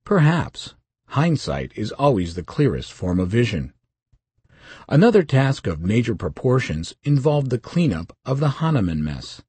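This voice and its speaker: An older man from Switzerland with a Swiss accent, reading his memoir in a measured, dry storytelling manner. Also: medium pitch, a little mellow, breathy edge, no room sound. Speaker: memoir narrator. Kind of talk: scripted first-person narration.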